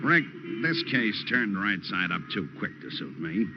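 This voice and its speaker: An American actor playing a gruff, terse, dogged police lieutenant in an old radio detective drama. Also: male voice, on the low side, very animated, a little slow, full character voice. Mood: doubtful, brooding. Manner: terse, commanding. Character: gruff, dogged male police lieutenant, impatient and plain-spoken. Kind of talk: 1940s-50s radio detective drama acting. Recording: muffled noisy old radio recording.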